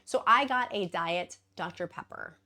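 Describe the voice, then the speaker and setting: A woman's voice: lowish voice, a little slow, bright, forceful tone. Bubbly rambling woman vlogger. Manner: bubbly, gushing, self-deprecating, rambling asides. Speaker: vlogger, weight-loss journey host. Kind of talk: casual chatty vlog to camera.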